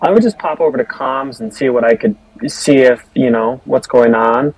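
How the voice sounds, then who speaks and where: A man with a husky voice talking casually as a player in a tabletop role-playing game. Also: mid-range voice, normal speed, darkish tone, low-quality recording. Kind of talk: casual improvised role-play talk.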